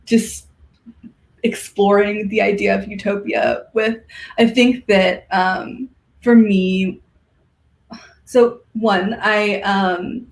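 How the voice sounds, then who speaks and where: A woman talks as a podcast guest with a noticeably creaky, mid-pitched voice. Her chat is casual and enthusiastic. She moves haltingly through her thoughts, feeling for each phrase. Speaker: podcast guest, science fiction writer.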